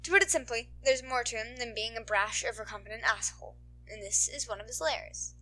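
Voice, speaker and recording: A woman reading fan fiction aloud as an amateur narrator, in an expressive storytelling style. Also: a little high, fairly quick, poor audio.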